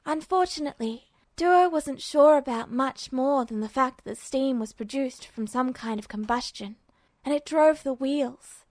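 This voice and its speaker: A woman's voice: high voice, unhurried, darkish tone, dry recording. A woman reading a scripted piece of fiction aloud as an amateur audiobook narrator.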